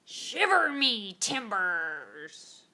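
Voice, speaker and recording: A woman voicing a gruff, boisterous male head pirate in a children's storybook, with hearty pirate talk. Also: medium pitch, very animated, drawn-out words, pushed and bright, voice pushed down, exaggerated voice. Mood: hearty, loud.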